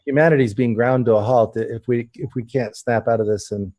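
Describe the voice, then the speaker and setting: A man hosting a casual interview, talking earnestly with halting starts and repeated words. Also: mid-range voice, fairly even intonation, darkish tone. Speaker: host.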